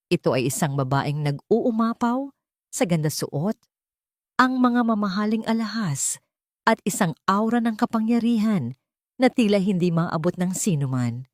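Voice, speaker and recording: A woman narrates a dramatic scripted story in measured, emotive phrases. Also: on the low side, darkish tone, no echo, clean studio sound.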